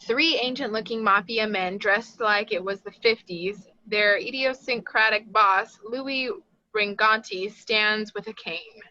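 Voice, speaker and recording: A woman's voice: mid-range voice, unhurried, bright, forceful tone, no echo. A woman narrator reading screenplay stage directions aloud at a table read. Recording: dull, dry lo-fi audio.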